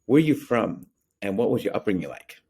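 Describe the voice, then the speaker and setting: A man's voice: on the low side, very animated, fairly quick, creaky, mellow, slightly rough. A man in his thirties hosting a podcast over a video call, asking eager questions and rephrasing as he goes. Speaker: podcast host. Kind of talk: casual interview chat.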